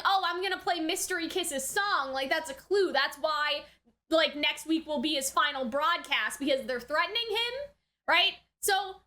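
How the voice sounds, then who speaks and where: A woman giving casual, unscripted reaction commentary as a video host, expressive, exclamatory and slangy, thinking out loud. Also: very high voice. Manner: chatty, thinking aloud.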